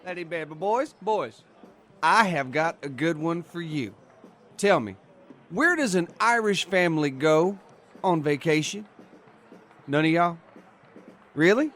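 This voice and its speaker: An actor in an audio drama playing a boisterous, folksy Irish-American barfly who loves being the center of attention. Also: male voice, fairly high pitch, lively intonation, measured pace, long pauses, fairly bright tone. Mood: jovial, showing off.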